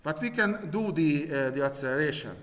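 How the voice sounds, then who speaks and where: A Hungarian man with a Hungarian accent lecturing physics in English to university students, halting with many fillers and restarts and slipping in dry jokes. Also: on the high side, expressive, fairly bright tone. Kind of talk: live classroom lecture. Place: large lecture hall. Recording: echoey lecture hall with long reverb.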